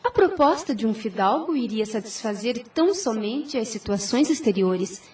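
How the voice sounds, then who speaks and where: A woman's voice: a little high, animated, normal speed, darkish tone, distant mic, lightly acted. A woman playing a virtuous, composed sixteen-year-old daughter in an audio drama, with a formal, earnest delivery. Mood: earnest, reasoning.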